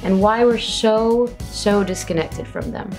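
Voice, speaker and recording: A woman's voice: mid-range voice, measured pace, darkish tone, faint music behind. A woman from Arabia, hosting a direct-to-camera video diary in a frank, playful, candid way. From Saudi Arabia.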